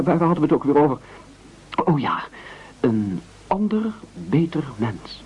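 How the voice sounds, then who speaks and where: A meek, earnest would-be martyr in a radio play, his voice rather high and husky. He stammers, then swells into self-important oratory with big swings of pitch. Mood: hesitant, anxious. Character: meek, eager man nobly volunteering to be eaten. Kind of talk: absurdist radio play acting. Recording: muffled old radio broadcast recording.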